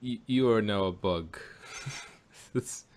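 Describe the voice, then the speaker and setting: A man hosting a casual review podcast, talking in a halting way and circling back over his own phrases. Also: on the low side, a little mellow. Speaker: podcast host reviewing audio drama. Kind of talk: casual chat about sci-fi.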